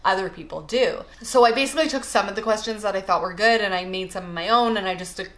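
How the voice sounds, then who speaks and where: A woman's voice: mid-range voice, quick pace. A young adult woman with a Canadian accent, chatting casually and emphatically to her viewers as a vlogger, often trailing off at the ends of phrases. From Toronto, Canada. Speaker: vlogger introducing herself. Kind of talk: casual chat to camera, get-to-know-me answers.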